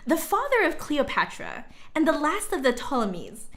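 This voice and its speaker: A woman's voice: sits high, wildly swooping pitch, moderate pace, a bit bright. A woman livestream host reading an old text aloud dramatically, breaking off for informal, chatty asides to viewers.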